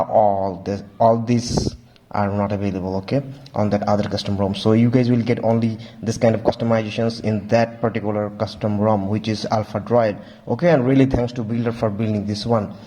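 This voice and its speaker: A man giving a casual, halting walkthrough as a tech reviewer, often repeating stock phrases. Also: on the low side, warm tone. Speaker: tech reviewer, video host.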